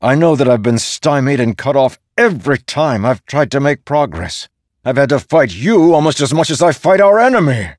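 A man's voice: deep voice, expressive, moderate pace, no echo. A commanding general, voiced by a male audiobook narrator, worn down yet stubbornly defiant. His male voice is earnest and demanding in a dramatic fantasy reading, with a dry, close sound. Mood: angry.

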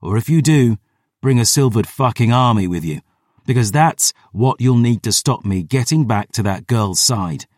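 A man playing a hard-bitten, stubborn, foul-mouthed fighter in an audiobook, gruff and blunt. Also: mid-range voice, animated, moderate pace, a little mellow, no room sound, clean studio sound, close to an everyday voice. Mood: menacing. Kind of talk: audiobook character voice.

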